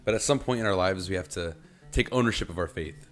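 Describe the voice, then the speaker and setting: A man's voice: sits low, fast talker, soft background music. A young man hosting a faith podcast, chatting casually and loosely, with lots of "kinda" and "like". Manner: conversational, loose with fillers like "kinda" and "like". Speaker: podcast host. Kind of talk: casual chat.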